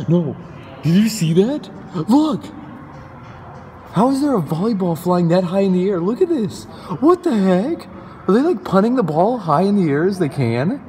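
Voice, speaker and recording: A young man chatting casually through a travel vlog, filming himself on a phone at arm's length, excitable and saying "literally" a lot. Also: high voice, slight background noise. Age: young adult. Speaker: vlogger. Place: car, street and home, phone held.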